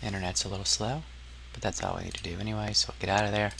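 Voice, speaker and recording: A man narrating a casual software tutorial as an instructor, explaining step by step in an informal, somewhat hesitant way. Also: lowish voice, steady tone, very fast, gravelly, slight background noise.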